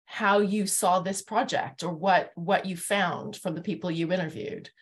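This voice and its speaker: A woman's voice: lowish voice, a little slow. A middle-aged woman hosting a thoughtful discussion over a video call, earnest and articulate as she searches for the right phrasing. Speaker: host, interviewer. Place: living room at home, indoors, on a video call. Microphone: wired earphone headset on a video call.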